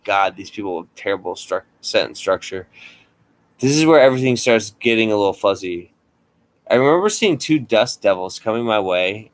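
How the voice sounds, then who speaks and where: A man's voice: lowish voice, poor audio. A man co-hosting a casual podcast, reading a scary story aloud with swearing and dry asides. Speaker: podcast co-host.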